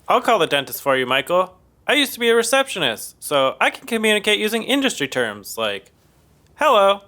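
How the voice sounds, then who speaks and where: Clueless upbeat sitcom dude, high voice, swoops all over. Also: male voice, very animated, brisk, bright, forceful tone, a little hiss or hum, full character voice. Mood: confident. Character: upbeat, clueless, cocky young man, Austin, a sitcom roommate. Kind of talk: sitcom acting.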